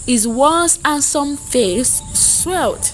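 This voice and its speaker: A woman with a fairly high voice narrates a dramatic folktale from a script. She speaks very slowly, phrasing each part deliberately and solemnly. Music is clearly audible behind her narration. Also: animated. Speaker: storyteller, narrator.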